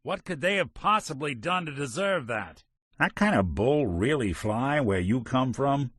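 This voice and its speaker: An actor voicing a weary synth detective in a video game, a gruff middle-aged man with a dry, wisecracking manner. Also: medium pitch, lively intonation, unhurried, fairly bright tone, no echo. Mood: stern. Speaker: companion character. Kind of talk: game character barks.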